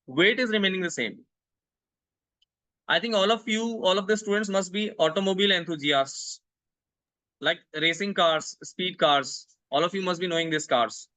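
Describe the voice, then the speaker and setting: A man from India lectures over a video call, repeating words while he reaches for the next one. His voice is bright and a little high, and the sound is dry and close. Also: dry recording, poor audio. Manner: explanatory, repeats words while searching for them. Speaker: guest lecturer. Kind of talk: online lecture.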